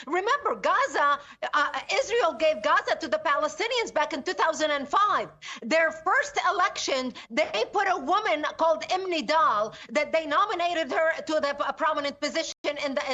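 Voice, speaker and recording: Forceful high-voiced woman on a lo-fi link. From Lebanon. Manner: forceful, indignant, emphatic repetition. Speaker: interview guest, security analyst. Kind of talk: remote news interview.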